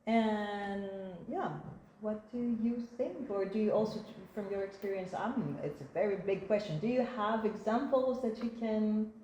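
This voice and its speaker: A woman's voice: mid-range voice, unhurried, warm tone. A woman from Greece moderating a panel discussion, hesitant with many fillers and laughs. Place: conference room. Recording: echoey room, faint noise.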